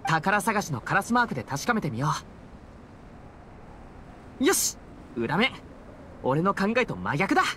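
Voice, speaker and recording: A man's voice: high voice, big pitch swings, a little slow, long pauses, pushed and bright, a little hiss or hum, heavily acted. A man playing an unlucky but hopeful teenage boy adventurer in an anime game, with a youthful voice and earnest, casual delivery. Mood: upbeat, explaining. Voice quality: youthful, boyish. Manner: earnest, upbeat, casual boyish speech. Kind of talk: Japanese anime game voice acting.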